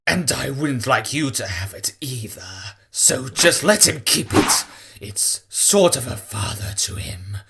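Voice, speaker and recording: An actor playing a stern, scheming villain gang leader in an animated fan dub, cold and commanding. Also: mid-range voice, measured pace, gravelly, very noisy. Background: music.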